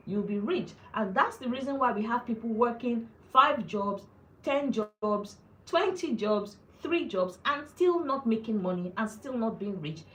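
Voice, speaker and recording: A woman's voice: on the high side, reverberant, poor audio. A woman hosting a live finance talk, speaking earnestly to her viewers and repeating phrases for emphasis. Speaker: personal finance host.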